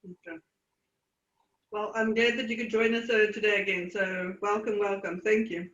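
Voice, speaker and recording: A woman leads a casual check-in for her meditation circle, encouraging each person in turn. Her voice is bright and forceful, and she stumbles and restarts often. The room around her is echoey. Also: mid-range voice, level tone, dull audio. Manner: encouraging, halting with many fillers. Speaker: meditation group host.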